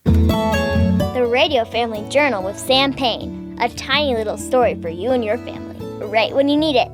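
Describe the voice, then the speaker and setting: Female radio announcer, scripted intro read, slightly high, over clear guitar music. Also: animated, moderate pace.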